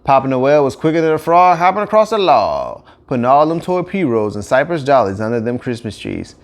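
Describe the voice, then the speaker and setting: A man's voice: medium pitch, brisk. A man with a Cajun accent narrating a children's story in a folksy, colloquial way. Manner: colloquial, animated dialect delivery. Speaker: audiobook narrator.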